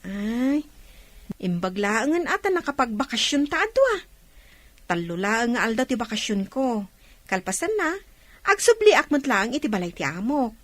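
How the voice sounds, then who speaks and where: Animated, high-voiced housemaid cousin. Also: female voice, normal speed, long pauses, no room sound. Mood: cheerful. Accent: Ilocano Filipino. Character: chatty young housemaid cousin, friendly. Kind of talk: Ilocano radio drama acting.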